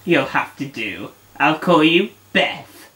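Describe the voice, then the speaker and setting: A bright, swooping voice for an imperious emperor puppet played by a man. He is plummy, haughty and petulant, giving orders in a comic puppet show. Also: a little high, expressive, takes it slowly. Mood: imperious, scheming.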